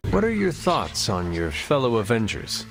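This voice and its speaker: An actor playing a solemn ancient warrior hero in a video game, speaking in a measured, formal, earnest way. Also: male voice, lowish voice, huge pitch swings, music in the background, rough recording. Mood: earnest, reserved. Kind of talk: video game dialogue acting.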